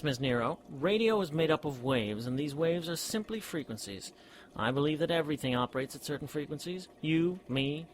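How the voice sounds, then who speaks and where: A man's voice: fairly high pitch, fairly bright tone, slight background noise. An actor playing an eager, eccentric scientist in a period radio drama, precise and earnest as he lectures. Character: eager eccentric male scientist, brother of a detective.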